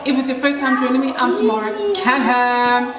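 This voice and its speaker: A young woman, chatting bubbly and excitedly through a makeup tutorial on camera in a small room with kids nearby. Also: sits high, fairly quick. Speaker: makeup vlogger. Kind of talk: casual beauty tutorial chat. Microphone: camera in front, head and shoulders framing. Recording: roomy echo with household noise.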